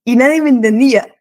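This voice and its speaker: A woman in her thirties from France, a French teacher who speaks Spanish with an Argentine accent in a casual chat, lively and laughing often. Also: a little high, dry recording, studio quality. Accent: Argentine Spanish with a French background. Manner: lively, laughs often, quick bursts of speech.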